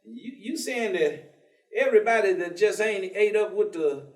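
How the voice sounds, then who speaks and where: A folksy high-pitched reverend. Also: male voice, lively intonation, moderate pace. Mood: dismayed, incredulous. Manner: folksy, colloquial. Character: earnest, folksy reverend, patient but dismayed man. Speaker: stage actor in a play. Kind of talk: theatrical staged reading. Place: theatre stage. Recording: echoey theatre stage, distant microphone.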